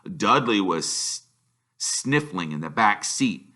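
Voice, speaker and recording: A man reading a children's story aloud with expressive, emphatic delivery, drawing out some words. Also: fairly low pitch, takes it slowly. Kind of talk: animated storybook narration.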